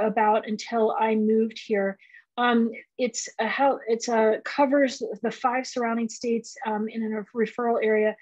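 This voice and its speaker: A woman's voice: a little high, a little mellow, dry recording, highs cut off. A middle-aged woman presenting a residency program on a video call, explaining with many fillers. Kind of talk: presenting slides in a virtual open house.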